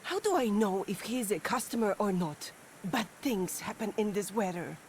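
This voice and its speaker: A woman's voice: mid-range voice, expressive, moderate pace, a little mellow, a little hiss or hum, natural voice. An actress voicing a jumpy, flirtatious street woman in a video game, speaking in a theatrical way. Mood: wary.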